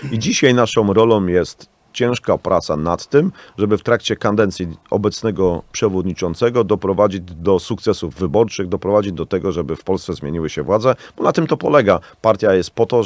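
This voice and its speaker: A man in his thirties argues his case as a radio interview guest, leaning on hesitant fillers and polite, formal address to the host. His voice sits fairly low. The studio sound is close and dry, with no room echo. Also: normal speed, few pauses, darkish tone. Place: small radio studio with acoustic panels. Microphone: studio microphone on arm.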